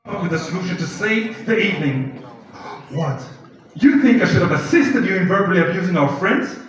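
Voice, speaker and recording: An actor playing a tipsy lawyer husband on a live stage, pleading with his wife and shouting and swearing as they argue. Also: high voice, animated, brisk, slight background noise. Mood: arguing. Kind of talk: live stage play acting. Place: theatre stage. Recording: echoey hall, far mic, dull sound.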